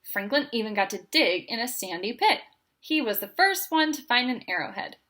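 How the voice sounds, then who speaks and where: A woman's voice: a little high, sing-song, normal speed, sharp tone. A woman reading a children's picture book aloud as a storyteller.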